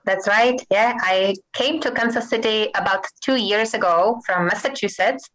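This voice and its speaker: A middle-aged woman from the Czech Republic with a Czech accent, a guest physician in an interview, talking thoughtfully and pausing to search for words. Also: mid-range voice, fairly bright tone, rough recording. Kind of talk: interview conversation.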